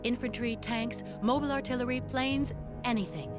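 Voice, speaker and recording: A woman's voice: fairly high pitch, normal speed, natural voice. A woman playing a bold, confident military liaison officer in a video game, assertive and direct. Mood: urging. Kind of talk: video game briefing dialogue. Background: game music.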